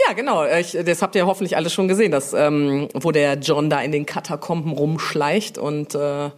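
A woman's voice: sits low, moderate pace, darkish tone. A woman chatting casually as an interview guest, explaining her work in a wandering, informal way. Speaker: interview guest, publishing brand manager.

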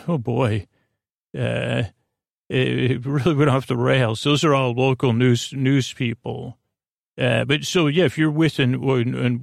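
A man with a creaky, fry-laden voice hosts a bedtime show in a very dry recording. His pitch sits in the middle for a man. He rambles softly and hesitantly, wandering into digressions and losing his thread as he goes. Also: no echo. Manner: hesitant, digressive, loses his train of thought, gently soothing. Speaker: host of a bedtime sleep podcast. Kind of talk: rambling, meandering bedtime monologue.

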